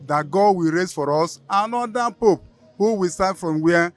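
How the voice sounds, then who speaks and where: A Nigerian man with a Nigerian English accent, speaking in a solemn, measured way. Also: sits high, dry recording. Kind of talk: reflective speech.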